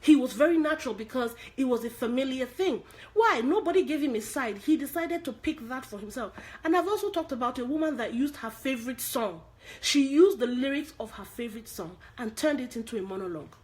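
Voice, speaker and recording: A woman with a Nigerian accent coaches actors in an online lesson. Her voice sits fairly high, and she drives each point home by repeating it and asking the audience direct questions. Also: expressive, a little fast, darkish tone. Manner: emphatic, repeats key points, asks rhetorical questions. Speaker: acting coach. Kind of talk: online acting lesson to viewers.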